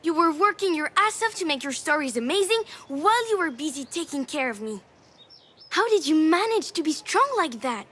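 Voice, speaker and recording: A woman's voice: high-pitched, sing-song, normal speed, a bit bright. An actress voicing a cheeky, affectionate young daughter in a video game, with a playful, girlish, teasing manner. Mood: enthusiastic, teasing. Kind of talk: naturalistic video game drama acting.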